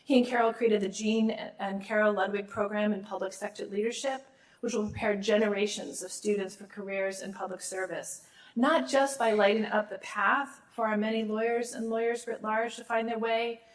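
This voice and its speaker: A woman's voice: mid-range voice, fairly even intonation, moderate pace, low-quality recording. A middle-aged woman, a law school dean, gives a formal awards speech while losing her voice, with small restarts and asides.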